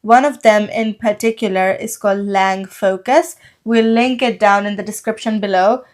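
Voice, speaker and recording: A woman's voice: mid-range voice, fairly even intonation, moderate pace. A young adult woman with a Pakistani accent, a native Urdu speaker co-hosting a casual podcast and explaining at length with many "like" and "so" fillers. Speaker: podcast co-host.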